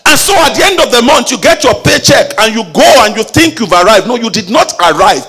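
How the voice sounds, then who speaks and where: A man preaching a sermon with call-and-response exhortations, rising at times into a sung shout. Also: very high voice, lively intonation, brisk, breathy edge.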